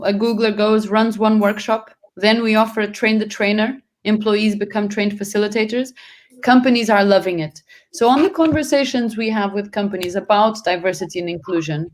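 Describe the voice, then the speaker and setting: A woman from Portugal, not a native English speaker, answering as a guest on a panel in an earnest way, often restarting and correcting herself mid-sentence. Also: medium pitch.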